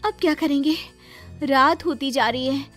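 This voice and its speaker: A woman's voice: high voice, normal speed, fairly bright tone, soft background music, pitched above the performer's own voice. A woman voicing a mysterious young bride-to-be lost in a forest, pleading and girlish, in a horror story. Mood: alarmed. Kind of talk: audio horror story acting.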